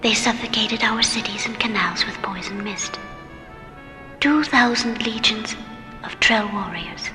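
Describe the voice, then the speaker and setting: An actress playing a serene, mystical alien woman in a science fiction radio drama, speaking solemnly with a breathy, hushed voice. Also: mid-range voice. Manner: solemn, measured. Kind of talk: old-time radio science fiction acting. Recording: long hall reverb, music behind.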